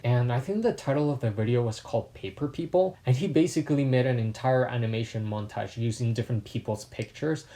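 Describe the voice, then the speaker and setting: Laid-back chatty young man. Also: mid-range voice. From Mexico. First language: Spanish. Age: 20s. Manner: relaxed, chatty, self-deprecating asides. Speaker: YouTuber. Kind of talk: casual facts vlog.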